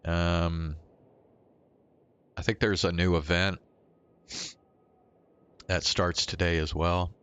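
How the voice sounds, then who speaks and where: A man giving casual, low-key livestream commentary while playing a game, musing hesitantly as he goes. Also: level tone, slow pace, long pauses, fairly bright tone, clean tone.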